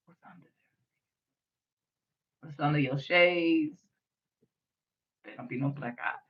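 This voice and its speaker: A woman's voice: sits low, slow pace, muffled recording. A woman in her early thirties from the US Midwest, with a light voice, hosting a late-night livestream in a rambling, blunt and profane way. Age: 30s. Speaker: livestream host. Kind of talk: late-night casual stream rant.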